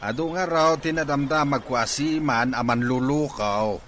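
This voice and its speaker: A man's voice: medium pitch, moderate pace, fairly bright tone, a little hiss or hum, natural voice. A man playing a distrustful, grumbling older father in an Ilocano audio drama. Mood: suspicious. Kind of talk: Ilocano radio-style drama acting.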